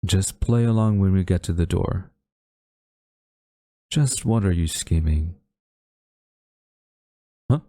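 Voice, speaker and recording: A man's voice: low voice, mellow, no echo, studio quality. A man acting a young university student in a romantic audio roleplay, a defensive, secretly smitten rival who grumbles and gets flustered. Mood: hesitant, nervous. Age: young adult. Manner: flustered, grumbling, halting denials.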